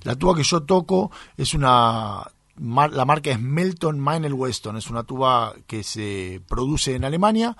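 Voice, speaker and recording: A man's voice: mid-range voice, animated, a little slow, fairly bright tone, no room sound. A man with a Buenos Aires area Argentine accent, answering interview questions in an earnest, explanatory, conversational way. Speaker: guest, professional tuba player.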